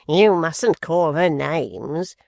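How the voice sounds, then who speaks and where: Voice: medium pitch, sing-song, vocal fry, no room sound, clean studio sound, full character voice. A reader voicing Quilp, a malicious, cunning male dwarf who drawls with false affection. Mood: mocking. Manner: slow, mocking, falsely affectionate.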